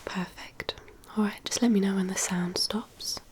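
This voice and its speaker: A woman whispers softly and breathily in an ASMR medical exam roleplay, giving gentle, reassuring instructions as a doctor. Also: medium pitch, steady tone, measured pace. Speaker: ASMR performer role-playing a doctor giving a hearing test.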